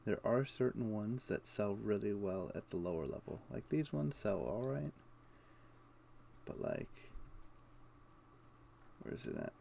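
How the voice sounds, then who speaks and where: A man's voice: on the low side, sing-song, unhurried, gravelly, long pauses, mellow. A man doing casual video game stream commentary, muttering to himself and explaining things offhandedly. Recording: faint background noise.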